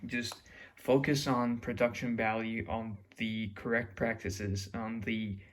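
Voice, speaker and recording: Young man, Mexican accent, rambling monologue, halting restarts, mid-pitched voice. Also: level tone. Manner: rambling, searching for words, many restarts. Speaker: YouTuber. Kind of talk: unscripted reflective monologue.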